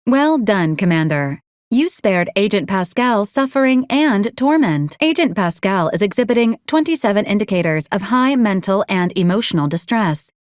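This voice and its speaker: A woman voicing a polite, literal-minded female robot assistant in a video game, speaking in a formal, clipped, deadpan and precise way. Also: mid-range voice, normal speed, no room sound, studio quality, close to an everyday voice. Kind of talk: scripted video game voice lines.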